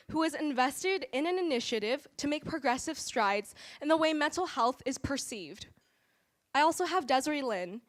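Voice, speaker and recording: High-voiced teenage girl giving a formal speech. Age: teens. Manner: earnest, formal, measured delivery. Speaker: student award honoree. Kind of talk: prepared acceptance speech. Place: awards event hall.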